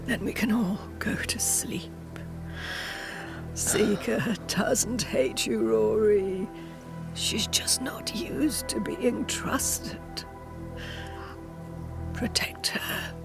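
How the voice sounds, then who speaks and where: An actress playing a dying old woman, a cryptic seer in a game cutscene, solemn, weary and whispery. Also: mid-range voice. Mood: weary, tender. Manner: slow, cryptic, whispery pronouncements. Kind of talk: dramatic game cutscene acting.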